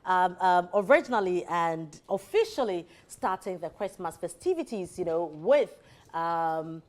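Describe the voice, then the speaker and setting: A woman's voice: on the low side, lively intonation, a little slow. A woman with a Ghanaian accent hosting a live morning show, chatting informally and promoting with emphatic enthusiasm.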